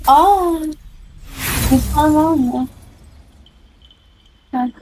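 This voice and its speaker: A woman's voice: high voice, drawn-out words, darkish tone, faint music behind. A woman giving casual, blunt and exasperated commentary while playing a game. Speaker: gamer reacting to gameplay.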